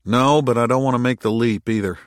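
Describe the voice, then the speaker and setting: A man voicing a gruff, skeptical moon colony worker in an audiobook, with blunt, sardonic retorts. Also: medium pitch, a little fast, no room sound, clean studio sound, close to an everyday voice. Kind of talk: audiobook character dialogue.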